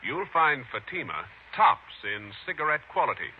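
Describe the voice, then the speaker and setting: A male announcer from 1950s radio, reading sponsor lines with lively swings in pitch. The recording is narrow and noisy. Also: normal speed.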